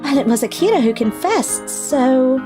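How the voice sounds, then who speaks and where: A woman playing a youthful, expressive schoolgirl in scripted animated dialogue. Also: high voice, normal speed. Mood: teasing. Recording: music behind the voice.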